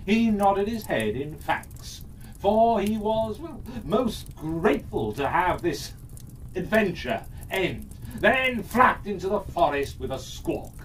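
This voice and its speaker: Animated, unhurried fairytale storyteller. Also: medium pitch, distant mic, a little hiss or hum. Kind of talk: dramatic children's fairytale narration.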